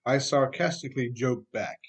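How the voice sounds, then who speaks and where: A man's voice: medium pitch, moderate pace, husky. Middle-aged American man narrating an audiobook. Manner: emphatic, addresses listener with rhetorical questions. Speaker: author reading own audiobook. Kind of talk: scripted audiobook narration with conversational asides.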